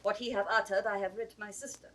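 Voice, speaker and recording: A woman's voice: medium pitch, fairly even intonation, fast talker, a bit bright, recorded from a distance, natural voice. An actress playing a cold, scheming, imperious noblewoman in a classical 1960s Shakespeare audio drama, with commanding, scornful theatrical phrasing. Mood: calculating.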